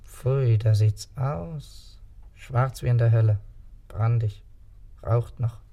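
A man playing a gleeful yet timid squirrel henchman with singed fur in a radio play, husky and drawn-out in delivery. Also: medium pitch, warm tone. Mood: gloating. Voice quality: husky, creaky.

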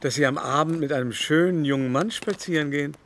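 An actor playing an aging, corpulent French aristocrat in a literary radio drama, teasing and flattering in a mannered, drawn-out way. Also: male voice, on the high side, a little hiss or hum. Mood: arch teasing. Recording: music playing behind the voice.

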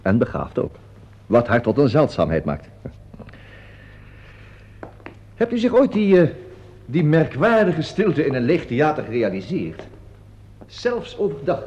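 An actor in a Dutch radio play as a wry, fussy veteran stage actor with a theatrical, drawling, sardonic manner. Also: male voice, mid-range voice, darkish tone, slightly rough, exaggerated voice. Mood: wry, musing. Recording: dull lo-fi radio drama recording.

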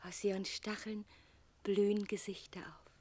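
An actress playing a young, dreamy lost bride in a German radio drama, lyrical and often near a whisper. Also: female voice, mid-range voice, flat delivery, unhurried, darkish tone, lightly acted.

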